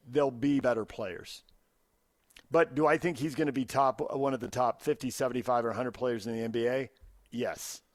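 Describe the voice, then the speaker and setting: A man's voice: mid-range voice, dry recording, studio quality. An American man co-hosting a sports radio show, arguing his opinions point by point in unscripted debate.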